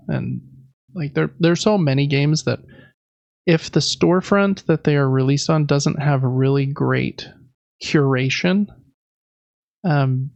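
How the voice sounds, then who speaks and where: A man's voice: medium pitch, vocal fry, a little mellow, dry recording, lo-fi sound. A man hosting a podcast, chatting informally and with enthusiasm about games. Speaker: podcast host. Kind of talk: casual gaming podcast chat.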